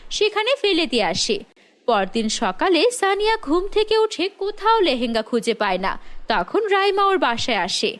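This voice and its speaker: Female narrator for a children's fairy-tale cartoon; scripted storytelling delivery. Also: very high voice.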